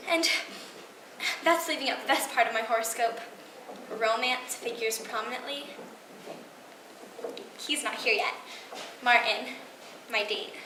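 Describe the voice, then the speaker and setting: A girl playing an eager, high-voiced young woman. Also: sharp tone. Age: young teenager. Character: excitable, hopeful young woman awaiting a blind date. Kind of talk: stage monologue performance. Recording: roomy, distant, noisy live recording.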